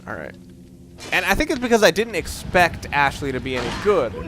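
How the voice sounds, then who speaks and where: A man giving casual, opinionated gameplay commentary, rambling and halting as he goes, with casual swearing. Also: a little high, sing-song, background noise. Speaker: let's play gamer commentator.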